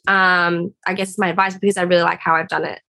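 A woman's voice: lowish voice, flat delivery, fast, vocal fry, sharp tone. A young woman with an Australian accent, chatting as a podcast guest, rambling with fillers and restarts. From Singapore. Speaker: guest freelancer. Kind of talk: casual interview chat.

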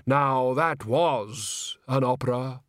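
A man voicing a wistful old music director, reminiscing slowly and mournfully in a storybook. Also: mid-range voice, no room sound, clean studio sound, natural voice. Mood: wistful, nostalgic.

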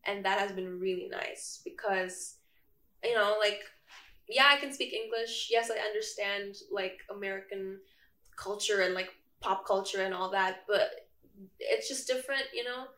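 A woman's voice: medium pitch, fairly even intonation, a little slow, fairly bright tone. A young Indonesian woman student talking loosely and hesitantly about her life on a campus podcast taped in a roomy, echoing space. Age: young adult. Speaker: podcast guest, international student. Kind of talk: casual chat. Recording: roomy echo.

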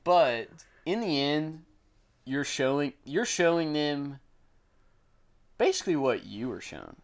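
A man's voice: a little high, sing-song, takes it slowly. A young man co-hosting a casual podcast, loose and swearing freely, often trailing off mid-thought. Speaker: podcast co-host. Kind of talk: casual chat.

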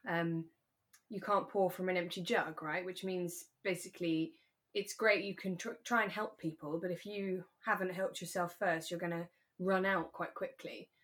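A woman's voice: on the low side, fairly even intonation, normal speed, a bit bright. A woman co-hosting a podcast, chatting casually and explaining things as she discusses a drama series. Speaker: podcast co-host. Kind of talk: casual chat reviewing a radio drama.

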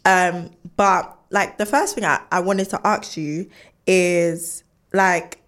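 A woman's voice: on the low side, fairly even intonation, takes it slowly, fairly bright tone. Young woman, informal podcast host. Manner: informal British slang, frequent check-in tags.

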